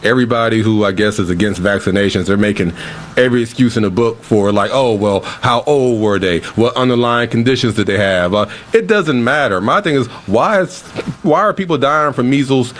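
A man's voice: medium pitch, fairly quick. A man who produces a radio talk show, chatting casually on air; he gets frustrated and repeats words when heated. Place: radio studio.

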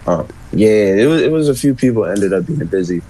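A young man from Washington, D.C., speaking as a guest on a radio call-in, relaxed and full of filler words. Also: on the low side, fairly quick, low-quality recording. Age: young adult. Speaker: phone-in guest. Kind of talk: casual phone conversation.

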